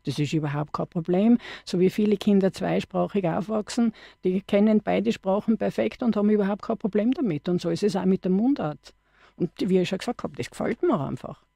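A woman's voice: mid-range voice, a little fast, gravelly, dark tone, dry recording. A middle-aged woman with an Austrian accent, a dialect poet chatting as a studio guest on a radio show, with frequent restarts. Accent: Austrian German. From Upper Austria. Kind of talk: radio interview.

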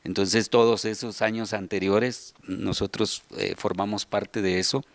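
A middle-aged man with a Guatemalan accent explaining things in an interview, often pausing to find his words. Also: on the low side, fairly even intonation, dry recording. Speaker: children's radio theater subdirector and voice announcer. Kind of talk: interview answers, explanatory talk. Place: large hall with seated group, indoors. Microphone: handheld interview microphone.

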